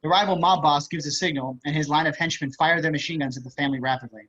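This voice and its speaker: A man's voice: on the high side, steady tone, quick pace, fairly bright tone. A man reading stage directions as scripted narration in a screenplay table read. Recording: slightly dull video-call audio.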